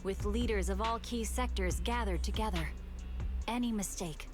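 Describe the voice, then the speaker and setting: A woman's voice: mid-range voice, moderate pace, music in the background, natural voice. An actress voicing a stern, literal-minded female fox officer with a solemn, formal manner in a game. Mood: solemn, resolute. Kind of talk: anime-style game voice acting.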